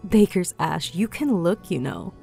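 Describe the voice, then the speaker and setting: Woman playing a goliath barmaid, lowish voice, talkative, motherly, saucy, fantasy audiobook, faint music behind. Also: lively intonation, unhurried, vocal fry, a little mellow, exaggerated voice. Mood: teasing. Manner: teasing, chatty, explanatory. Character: cheeky, flirtatious female goliath innkeeper, motherly and talkative. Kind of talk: audiobook character dialogue.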